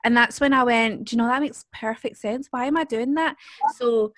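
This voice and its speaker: A woman's voice: fairly high pitch, normal speed, fairly bright tone, dry recording, studio quality. Chatty young woman. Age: 20s. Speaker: host. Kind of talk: casual group interview.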